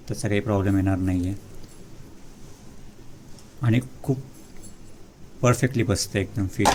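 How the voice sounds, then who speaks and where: A man doing a casual unboxing walkthrough as a vlogger, hesitant, with many fillers and pauses, mixing English words into his speech. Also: on the low side, slight background noise.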